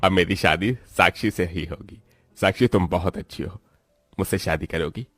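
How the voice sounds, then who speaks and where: Deep-voiced earnest young bridegroom played by a man. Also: normal speed, a bit bright, no echo, clean studio sound. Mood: grateful, tender. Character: kind, busy, wealthy young boss and bridegroom. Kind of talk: Hindi moral-story cartoon acting.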